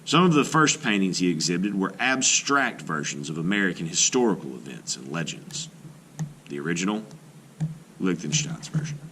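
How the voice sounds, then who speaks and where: A man reading a children's book aloud as an art teacher, in an expressive storytelling style with deliberate pauses. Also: low voice, slight background noise. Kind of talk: scripted narration, children's read-aloud.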